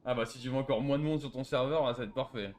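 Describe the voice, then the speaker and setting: A man's voice: mid-range voice, very fast. A man arguing casually in online game voice chat, with a sarcastic, needling manner. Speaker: player trolling a roleplay game server.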